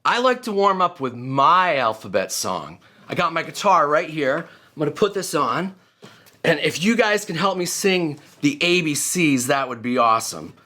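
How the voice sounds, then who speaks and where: A man's voice: a little high, big pitch swings, normal speed, bright, forceful tone. A man talking casually and playfully to children as a musician guest on their show.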